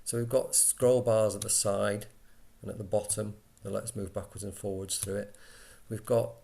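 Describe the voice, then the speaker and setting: Low, slightly creaky man's tutorial voice. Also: flat delivery, moderate pace, mellow. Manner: explains step by step, conversational. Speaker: software tutorial instructor. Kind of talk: informal screen-recorded software walkthrough.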